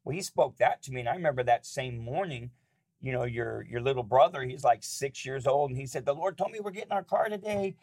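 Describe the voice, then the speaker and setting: A man's voice: mid-range voice, fairly quick, darkish tone. A middle-aged man, a pastor and father, talking casually about faith with his adult daughter and telling family stories.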